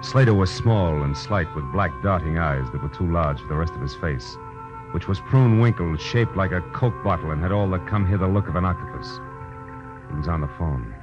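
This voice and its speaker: A hard-boiled American detective, speaks in a very low voice with wry, sardonic bite. It is old-time radio noir, dull in fidelity, with music clearly playing behind him. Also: male voice, lively intonation, a little fast. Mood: wry narration. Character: wisecracking hard-boiled private detective, a grown man. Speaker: narrator and lead actor. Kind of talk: 1940s radio noir acting.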